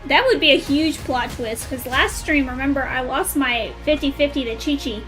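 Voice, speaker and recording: Female game streamer, high voice, informal and chatty, thinks aloud to viewers, faint music underneath. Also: moderate pace, fairly bright tone, rough recording. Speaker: game livestreamer. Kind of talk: casual livestream chat with viewers while playing.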